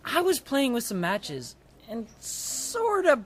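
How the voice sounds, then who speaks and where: A woman voicing a sheepish, hesitant young lad in an audio drama, halting and awkward. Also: a little high, huge pitch swings, a bit bright, slight background noise, exaggerated voice.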